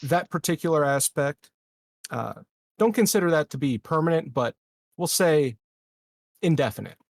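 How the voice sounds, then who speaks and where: A guarded, calculating male colony leader, played by a man at a game table talks formally and deliberately. The voice is gravelly and recorded very dry. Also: on the high side, lively intonation, no echo, pitched above the performer's own voice. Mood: measured, guarded.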